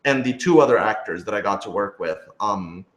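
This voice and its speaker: A man's voice: lowish voice, lively intonation, a little fast. Man chatting casually in an echoey room. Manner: conversational, hedging with lots of "like" and "sort of". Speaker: radio drama creator and director answering listener questions. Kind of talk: casual live Q&A chat. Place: indoor room with other people. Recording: roomy, echoey room sound.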